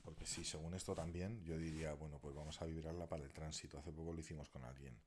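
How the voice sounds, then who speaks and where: Deep-voiced man rambling to followers. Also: steady tone, fast talker, noisy background. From Spain. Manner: rambling, thinking aloud while working a device, at times muttering half-whispered. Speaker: alternative healer. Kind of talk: casual live video talk to followers.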